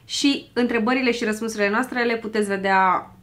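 Young woman on the couch teasing her husband, voice on the high side. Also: fairly even intonation, a bit bright, slight background noise. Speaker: vlog co-host. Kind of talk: casual chat. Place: living room, seated on a sofa.

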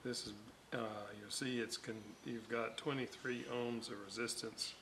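A man's voice: mid-range voice, steady tone, moderate pace, noisy background. A man talking through a radio repair at his workbench, thinking aloud and correcting himself as he measures. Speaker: radio restorer. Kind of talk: hands-on repair walkthrough.

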